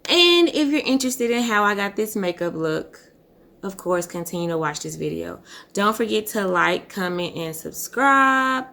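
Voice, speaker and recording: A young woman, hoarse and husky, chatting casually as a beauty vlogger while telling a story. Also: medium pitch, moderate pace. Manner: relaxed, chatty, says y'all and um often. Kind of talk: casual storytelling chit chat. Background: music.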